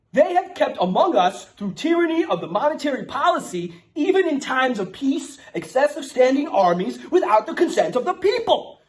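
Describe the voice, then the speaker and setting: A man playing a brash, streetwise, foul-mouthed Bronx guy with a New York accent. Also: very high-pitched. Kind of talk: casual ranting and mock-solemn declaration reading.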